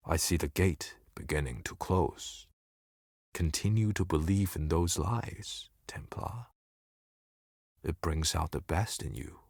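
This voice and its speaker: A male actor playing a solemn, sardonic mystic in a video game, speaking in measured, wry, theatrical phrases that drop into hushed tones. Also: low-pitched, dry recording, studio quality, heavily acted. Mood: solemn, urgent. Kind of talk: scripted game character dialogue.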